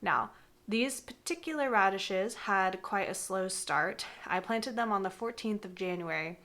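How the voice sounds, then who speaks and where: A woman's voice: medium pitch, measured pace, a bit bright. Slightly congested young woman, chatty vlog. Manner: chatty, frequent "um" and "like", conversational. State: congested from a cold. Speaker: gardening vlogger. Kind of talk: casual explanatory vlog. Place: indoors, with some segments recorded outdoors in a garden.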